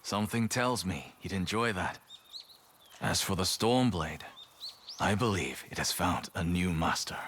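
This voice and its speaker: A man playing a calm, reverent storyteller in a video game, narrating old samurai legends in a measured, grave way. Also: low-pitched, a little hiss or hum, full character voice. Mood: thoughtful, knowing. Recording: loud music behind the voice. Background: loud music.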